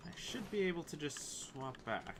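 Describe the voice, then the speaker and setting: A man's voice: fairly high pitch, big pitch swings, moderate pace, noisy background. A man doing casual gameplay commentary as a streamer, muttering and thinking aloud to himself. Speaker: game streamer. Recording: loud game music behind, keyboard clicks.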